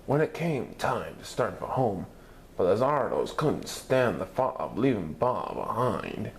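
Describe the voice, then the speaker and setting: Man, gravelly fry in a low voice, lively children's story reading, faint background noise. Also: measured pace. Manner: animated, putting on higher voices for characters. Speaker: story reader. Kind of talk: reading a children's picture book aloud.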